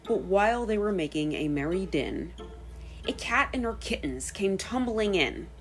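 A woman with an American accent hosting a podcast, reading scripted narration in careful, clear phrases. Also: mid-range voice, animated, normal speed, soft background music. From United States. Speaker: podcast host and storyteller.

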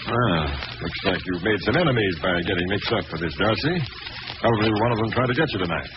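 An actor playing a gruff, skeptical police commissioner in an old radio drama, brusque and matter-of-fact. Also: male voice, on the low side, expressive, fast, lightly acted. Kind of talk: 1940s radio acting. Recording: muffled, noisy old radio recording.

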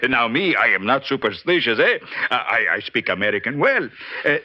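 An actor playing an eager, greedy Central American guide in an old radio drama, with Spanish-accented English and a halting, ingratiating way of haggling. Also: male voice, medium pitch, very animated, muffled recording. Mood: sly, ingratiating. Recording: band-limited old radio recording, faint noise.

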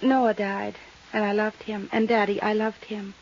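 An actress playing a haunted, unstable young widow with deliberate, intense delivery and loaded pauses in a 1950s radio drama. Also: female voice, mid-range voice, flat delivery, natural voice. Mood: haunted, bitter. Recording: muffled, hissy vintage radio recording.

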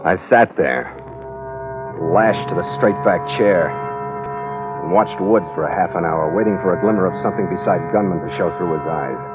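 A hard-boiled American sea skipper played by a man in a mid-century radio adventure. His voice is low, terse and wryly deadpan, coming through a thin old broadcast recording with prominent music. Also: moderate pace, phone-quality sound, lightly acted. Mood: grim narration. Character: hard-boiled American ship's skipper, wry, suspicious, defiant. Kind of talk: 1940s-50s American radio adventure acting and narration.

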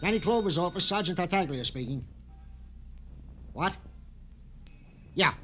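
An actor playing a devoted, fussing police sergeant sidekick in an old radio crime drama, eager and colloquial. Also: male voice, high-pitched, animated, measured pace. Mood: excited, urgent. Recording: noisy old radio broadcast.

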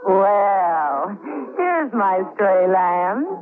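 An actress playing a scheming, sardonic wife in a classic radio drama, with a brisk, falsely gracious manner. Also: female voice, medium pitch, very animated, over music. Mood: sweetly false. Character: cool, scheming wife after an inheritance, falsely sweet. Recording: muffled old radio broadcast recording.

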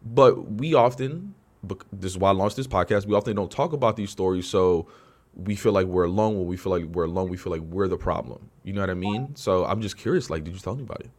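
A man's voice: low-pitched, quick pace, darkish tone. A man hosting a podcast, asking probing questions in a laid-back, slangy conversational way. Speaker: podcast host. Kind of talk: casual interview conversation.

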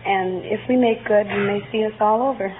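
A woman's voice: medium pitch, fairly even intonation, a little fast. A young woman from Indiana answering a host's questions briefly as a contestant on a vintage radio quiz. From United States, Indiana. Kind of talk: short answers in an on-air interview. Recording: tinny vintage radio, faint noise.

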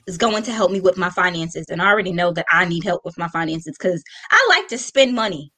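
A woman's voice: lowish voice, expressive, a little fast, sharp tone, slightly rough. A woman of thirty-eight co-hosting a call-in talk show, joking and emphatic in casual chat. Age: 30s. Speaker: radio show co-host.

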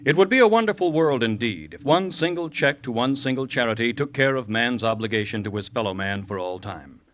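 A man announcing on 1950s radio, reading a script in a formal, measured, earnest way. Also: mid-range voice, a bit bright, a little hiss or hum. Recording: dull old radio recording.

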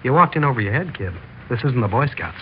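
An actor playing a cold, mocking gangster boss in a 1960s radio drama, sarcastic, tough and slangy. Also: male voice, medium pitch, lively intonation, quick pace, natural voice. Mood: mocking menace. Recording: muffled, hissy old radio recording.

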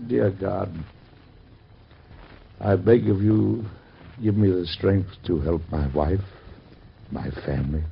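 An actor playing a devout, grieving father and president, husky and gravelly, in a solemn, measured way of talking, from an old radio drama. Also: deep voice, steady tone, highs cut off, heavily acted. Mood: prayerful. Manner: solemn, measured, gently reassuring.

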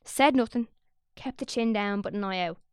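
A woman's voice: medium pitch, normal speed, darkish tone, clear, resonant tone, no echo, studio quality. A young woman narrating an audio drama in a wry, conspiratorial, sweary way.

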